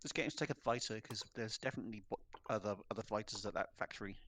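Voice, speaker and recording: A man's voice: lowish voice, racing, a bit bright, no echo. A man in casual voice chat with a friend, easygoing and full of tactical suggestions. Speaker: co-op teammate.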